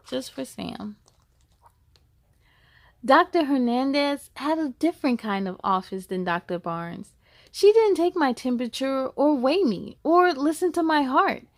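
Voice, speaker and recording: A woman with a high voice hosts a storybook read-aloud for children. She speaks encouragingly, addressing her young listeners directly. The sound is dry, with very little room echo. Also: creaky. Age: adult.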